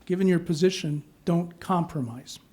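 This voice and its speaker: Male panel moderator; thoughtful, measured questions, slightly high-pitched. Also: darkish tone. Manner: measured questioning, checks in with "okay?".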